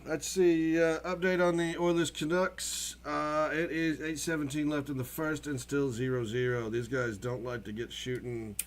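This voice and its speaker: A man's voice: fairly high pitch, flat delivery, moderate pace, hardly any pauses. A man co-hosting a sports show, giving updates in a casual, conversational way.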